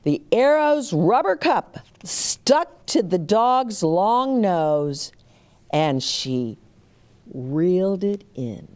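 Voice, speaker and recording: A woman storyteller reading a picture book aloud to young children, animated, with chatty explanatory asides. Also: mid-range voice, drawn-out words.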